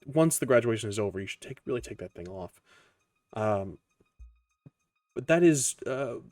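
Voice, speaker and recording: A man working as game master, improvising tabletop narration in a halting, deliberate way with many pauses. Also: mid-range voice, lively intonation, a little mellow, no room sound, studio quality. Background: background music.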